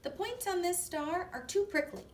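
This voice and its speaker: A small storybook letter, an innocent little girl, played by a woman. The voice is high and plaintive, exclaiming in a fussy way. There is an echoey room around it. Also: moderate pace, far from the mic, a little hiss or hum.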